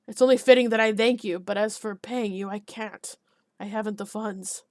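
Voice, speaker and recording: A woman voicing a small, podgy, hot-tempered village alderman who blusters and shouts. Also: mid-range voice, steady tone, normal speed, no room sound, studio quality, natural voice. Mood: gloomy.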